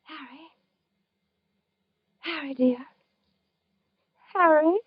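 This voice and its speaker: Haunted anguished wife, old time radio suspense, highish voice, dramatic, muffled old recording. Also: female voice, very slow, slight background noise. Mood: frightened pleading. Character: anguished wife haunted by her husband's fatal dreams, narrating. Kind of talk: old-time radio suspense acting, first-person narration. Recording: dull vintage radio recording with music.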